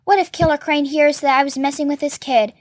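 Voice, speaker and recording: A woman voicing a gruff, scornful tattooed tough man in a storybook, curt and sneering. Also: high-pitched, fairly even intonation, brisk, a little mellow, lightly acted. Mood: irritated, dismissive.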